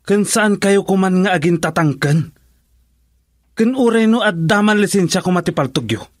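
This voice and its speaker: A man's voice: high voice, animated, normal speed, dry recording, studio quality. A man playing an exasperated, reproachful young husband in a Filipino radio drama, speaking with a Filipino accent. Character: exasperated young husband scolding his father-in-law.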